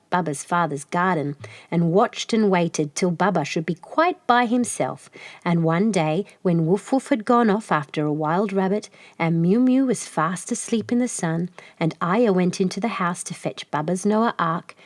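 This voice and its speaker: A woman narrating a children's storybook in an expressive storytelling manner, acting out the characters. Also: on the low side, normal speed, no echo.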